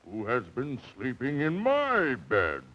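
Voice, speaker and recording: A man voicing a great huge papa bear who roars in a rough, gruff voice, in old-time radio comedy acting. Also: mid-range voice, huge pitch swings, slow pace, a bit bright, exaggerated voice. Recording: dull old radio recording.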